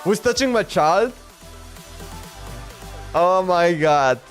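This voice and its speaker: A man's voice: sits high, animated, extremely slow. A man livestreamer chatting casually in a slangy, exclamatory way as he reacts, often repeating himself. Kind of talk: casual reaction stream chat. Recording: background music under voice. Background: music.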